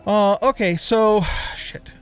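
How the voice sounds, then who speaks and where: A man's voice: high-pitched, slow, clean tone, soft background music. A middle-aged man giving casual let's play commentary, thinking aloud as he plays. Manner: talks to himself, thinking aloud.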